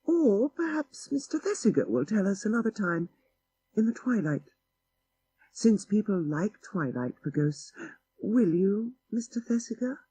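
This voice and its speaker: A man voicing a gushing, coy young society woman in an audiobook story, breathy and exclaiming. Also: sits high, big pitch swings, measured pace, warm tone, no room sound, voice pushed up, heavily acted, rough recording. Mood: coaxing.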